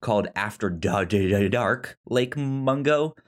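A man co-hosting a film podcast in casual discussion, halting and stuttering, often repeating sounds and words. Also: fairly low pitch, lively intonation. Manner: halting, hesitant delivery.

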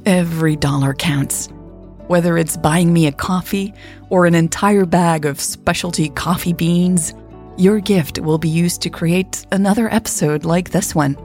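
Husky dutch woman reading a podcast story, slow n low, faint music under. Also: fairly even intonation, darkish tone. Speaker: podcast host and narrator. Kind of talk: scripted audio story narration.

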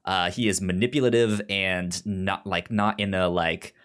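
A man hosting a podcast in casual, opinionated recap chat, animated, with a frequent stutter that repeats the first sounds of words. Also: low voice, a little slow, clean tone. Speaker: podcast host.

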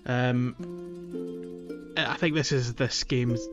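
A man's voice: mid-range voice, steady tone, takes it slowly, highs cut off, over music. A man hosting a casual gaming podcast, often searching for his words. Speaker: podcast host.

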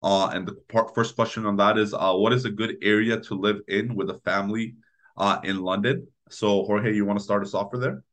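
A man's voice: fairly low pitch, steady tone, brisk, a bit bright. A man, around his thirties, moderating an informal panel discussion as its host.

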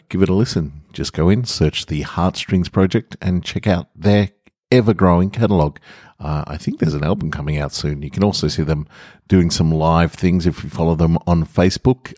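A man's voice: low-pitched, a little mellow, no echo, studio quality. A man hosting a podcast and reading short stories, with casual introductions and scripted narration. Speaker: podcast host and story reader.

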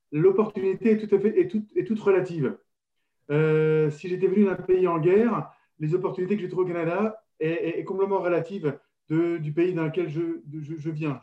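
Hesitant French man, dull roomy sound. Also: a little high. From France. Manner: hesitant, many restarts and fillers. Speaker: panel guest. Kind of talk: radio panel discussion. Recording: dull roomy radio recording.

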